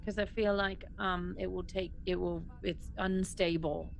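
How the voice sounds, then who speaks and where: A woman's voice: fairly low pitch, flat delivery, a little slow, gravelly, faint music behind, low-quality recording. A woman chatting casually as a player in a tabletop role-playing game, thinking aloud and reacting readily.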